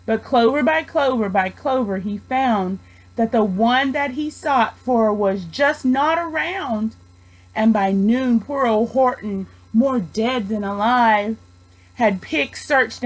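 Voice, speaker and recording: A woman narrates a rhyming children's story. Her voice sits fairly high and she takes her time, reading expressively. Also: slow, rough recording. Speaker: storybook reader, student teacher.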